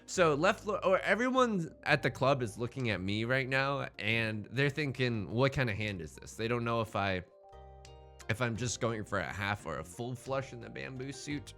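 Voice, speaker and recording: A man's voice: medium pitch, fairly bright tone. A man gives casual running commentary as host of a let's play, thinking aloud as he goes. His mid-range voice swoops around animatedly, with joking, self-deprecating asides and playful drama. Music plays faintly behind him.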